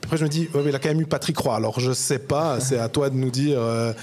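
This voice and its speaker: A man's voice: mid-range voice, steady tone, fast talker, a little mellow. A man, a podcast host and sports journalist, asking chatty, circling questions with many restarts in a casual live interview in a bar. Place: bar, live audience event.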